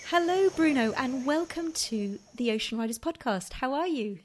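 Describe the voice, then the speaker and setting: A woman's voice: high-pitched, lively intonation, moderate pace, a little hiss or hum. A woman hosting a podcast, first reading a prepared introduction, then interviewing a guest with short encouraging replies. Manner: reads the intro steadily, then chats with encouraging fillers. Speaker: podcast host.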